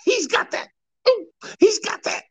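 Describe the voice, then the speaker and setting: A shrieking hard-rock singer. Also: male voice, expressive, measured pace, dry recording. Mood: boastful. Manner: high shrieking wails and shouts. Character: screeching hard-rock singer, man, brash and boastful.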